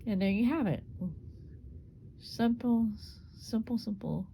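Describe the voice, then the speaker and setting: A woman with a gravelly, hoarse voice demonstrates a small craft step by step. Her talk is relaxed and unscripted, with plenty of repeats as she works. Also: a little high, takes it slowly, slight background noise, rough recording. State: voice going hoarse. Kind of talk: casual hands-on craft demonstration.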